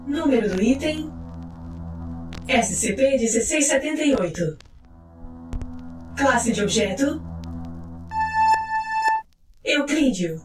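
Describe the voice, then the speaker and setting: An echoey, high-pitched woman's voice narrates over soft music. She reads a formal script in a measured, clinical way, like documentary narration.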